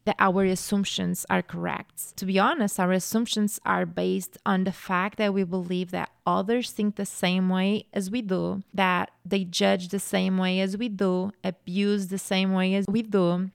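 A young woman from Portugal, hosting a podcast in an earnest, reflective monologue that grows animated when she tells a story. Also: mid-range voice, gravelly, smooth, dry recording, clean studio sound. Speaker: podcast host.

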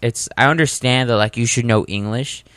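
A man's voice: mid-range voice, normal speed, fairly bright tone, no room sound, studio quality. A young man in casual chat, rambling and heavy on filler words.

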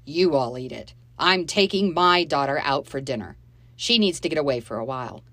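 A woman reading the part of an angry stepfather who shouts and accuses two children, in a storybook reading. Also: low voice, expressive, normal speed, no room sound, exaggerated voice. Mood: bitter. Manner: loud, accusing, bitter.

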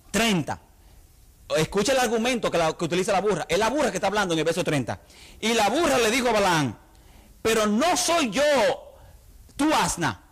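A middle-aged man with a Dominican accent preaches a sermon in an animated way. His voice sits high for a man and swings widely in pitch. He slips into the voices of the people in his stories as he talks. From Dominican Republic. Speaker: pastor.

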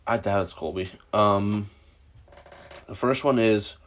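A young American man in casual chat, talking in a halting, rambling way with some swearing. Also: on the low side, a little hiss or hum. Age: young adult.

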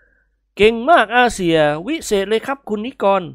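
Storybook gentleman official, voiced by a woman: polite, formal, insistent, somewhat high. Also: normal speed, no room sound, clean studio sound, natural voice. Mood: eager, courteous. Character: eager, courteous gentlemen officials, pressing dinner invitations.

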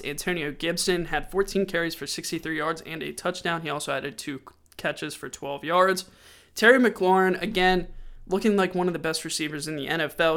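A man's voice: a little high, fairly bright tone, smooth. Stuffy-voiced man hosting a sports podcast. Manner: rattles off game stats in a loose, conversational way. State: stuffy, sore throat. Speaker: podcast host. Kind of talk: casual sports recap podcast.

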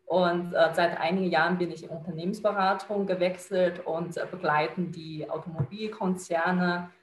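A woman's voice: lowish voice, fairly even intonation, normal speed. Chinese-accented woman, hesitant, echoey room. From China. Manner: hesitant, many fillers, non-native grammar. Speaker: business consultant. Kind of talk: conversational interview answer.

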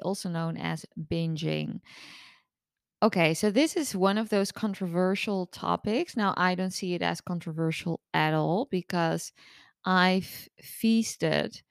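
Hoarse lady in her 30s, podcast host, open book type, kinda low voice, dry. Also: no echo. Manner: candid, chatty, open-book. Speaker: podcast host and eating disorder recovery coach. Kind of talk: casual solo podcast monologue.

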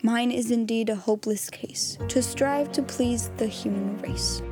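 A woman's voice: a little high, measured pace, over music, natural voice. A woman voicing the aggrieved, self-pitying mercury of a thermometer in comic verse, plaintive and dramatic. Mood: despairing.

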